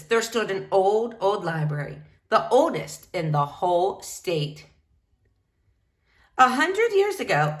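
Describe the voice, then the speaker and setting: Very expressive woman reading a storybook. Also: medium pitch. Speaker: storybook reader. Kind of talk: read-aloud narration for children.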